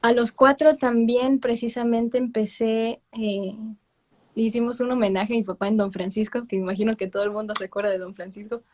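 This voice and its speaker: A woman's voice: a little high, flat delivery, a little fast, darkish tone. A young woman with a Mexican accent, a guest telling stories in a casual interview. She laughs often and drops in English words. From Miami, with long stays in Mexico.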